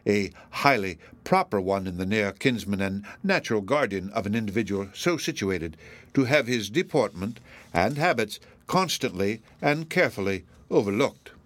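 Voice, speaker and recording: A man voicing a pompous, menacing elderly judge in a classic novel audiobook, speaking in a formal, measured, self-righteous way. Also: fairly low pitch, very animated, a bit bright. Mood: pompous menace.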